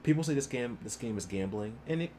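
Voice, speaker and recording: A man's voice: mid-range voice, lively intonation, fast, a little mellow, a little hiss or hum. A man livestreaming a game, chatting casually with viewers and commenting as he plays.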